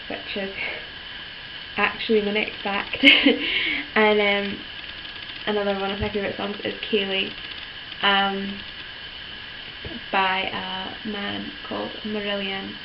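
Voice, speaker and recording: A teenage girl, hoarse from a cold, rambling through a casual vlog with lots of ums and likes. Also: mid-range voice. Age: teens. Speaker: vlogger. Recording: dull lo-fi with steady background noise.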